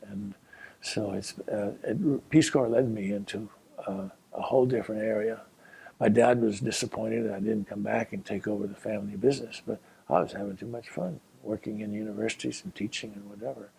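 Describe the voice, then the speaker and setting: An older man with a low, deeply gravelly and husky voice tells stories from his years abroad. He speaks unhurriedly, stopping often to search for words and filling the gaps with "uh". He sounds like a retired professor reminiscing. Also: fairly even intonation, mellow, rough recording. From Colorado, USA. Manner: unhurried, halting, with frequent pauses and "uh" fillers. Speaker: former Peace Corps volunteer and geography professor. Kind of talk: reminiscing personal story.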